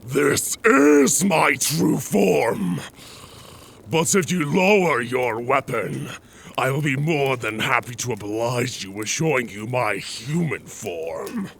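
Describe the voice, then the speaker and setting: Man playing a slow-spoken, dignified werewolf. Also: mid-range voice, animated, creaky, a bit bright, slightly rough, heavily acted. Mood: firm, imposing. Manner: slow, formal, deliberate. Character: dignified male werewolf, proud, courteous pack member. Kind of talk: cinematic audio roleplay acting.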